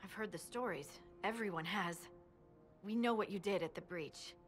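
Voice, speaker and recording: A woman's voice: medium pitch, moderate pace, soft background music, lightly acted. A woman voicing an earnest, plain-spoken young female scout in a fantasy video game. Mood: earnest, respectful.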